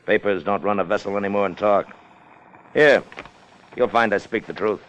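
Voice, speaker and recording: An actor playing a ruthless, brazen sailor in a 1950s radio drama, with a cool, insolent, clipped manner. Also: male voice, fairly low pitch, normal speed, fairly bright tone, lightly acted. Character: ruthless, brazen murderous sailor turned first mate. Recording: muffled 1950 radio broadcast with music.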